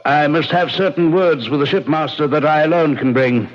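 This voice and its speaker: Man playing a high-pitched, formal lord in radio drama. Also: normal speed, lightly acted. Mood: courteous, formal. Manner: formal, lordly, courteous. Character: courteous Irish lord, secretly jealous, menacing husband. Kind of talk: old-time radio drama acting, formal period speech. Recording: muffled, narrow-band old radio recording.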